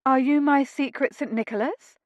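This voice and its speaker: Woman doing a young genteel lady, raised pitch, anxious, refined, dry sound. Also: moderate pace, no echo, dull audio, close to an everyday voice. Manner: refined, hesitant questions.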